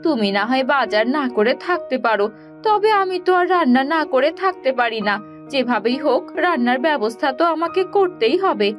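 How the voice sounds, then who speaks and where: A woman voicing a feisty, sharp-tongued village wife in a cartoon, exasperated and quick to scold. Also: high voice, expressive, faint music behind. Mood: indignant. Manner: scolding, retorting. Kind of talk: animated cartoon dialogue.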